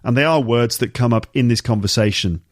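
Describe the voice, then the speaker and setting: A man with a touch of a cold explains words to learners in a relaxed, conversational podcast monologue. His pitch sits in an ordinary middle range. The recording is close and dry, with almost no room sound. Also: no echo. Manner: chatty, explains words slowly and repeats key terms. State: has a slight cold. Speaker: English teacher and podcast host.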